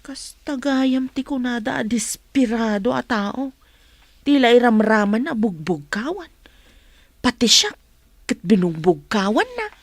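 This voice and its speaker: A woman's voice: a little high, expressive, a little slow, dry recording. A woman acting a chatty, outspoken household helper in a Filipino radio drama, with a forthright manner. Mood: teasing.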